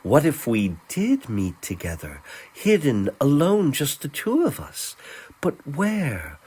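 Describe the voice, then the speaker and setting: A man voicing a young lovestruck, anxious girl in a dramatic storybook reading, halting and earnest. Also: mid-range voice, huge pitch swings, darkish tone, slightly rough, voice pushed up, heavily acted. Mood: anxious, hopeful.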